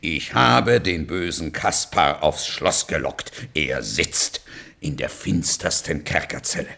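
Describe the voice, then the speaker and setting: Servile huntsman for fairy-tale radio drama, deep voice, slow delivery, formal and obsequious. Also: big pitch swings, gravelly, bright, forceful tone, full character voice. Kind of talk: radio play acting.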